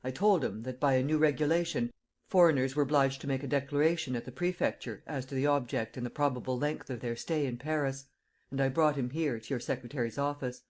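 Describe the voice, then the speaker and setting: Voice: mid-range voice, brisk, darkish tone, close to an everyday voice. A dutiful junior detective, voiced by the reader. He reports plainly and without fuss, in the reader's ordinary voice.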